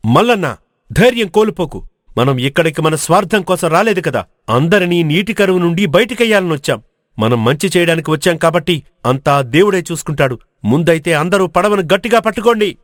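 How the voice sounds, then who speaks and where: A man acts a courageous village hero for an animated folk tale. The voice sits high for a male, resolute and earnest, declaiming each line. The sound is dry. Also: fairly quick, no room sound, close to an everyday voice. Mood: steadying. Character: brave, determined young village hero. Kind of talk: animated moral story dubbing.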